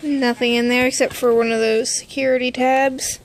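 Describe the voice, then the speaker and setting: A woman's voice: high voice, level tone, normal speed, a little hiss or hum. A woman casually narrating an unboxing as a vlogger, informal, with muttered asides to herself. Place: indoors at home.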